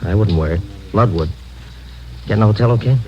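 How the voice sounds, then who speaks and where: An actor playing a low-key, wary undercover narcotics officer in a casual, laconic radio drama style. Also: male voice, fairly quick, soft background music, close to an everyday voice. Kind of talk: casual-toned 1950s radio drama acting. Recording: muffled old radio recording, faint noise.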